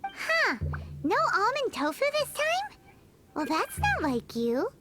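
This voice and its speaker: Tiny fairy girl, very high squeaky voice, wildly swooping intonation, childlike, nosy, video game character, music behind. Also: huge pitch swings, takes it slowly, full character voice. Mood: playful. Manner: squeaky, refers to self in third person. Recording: game dialogue over prominent music.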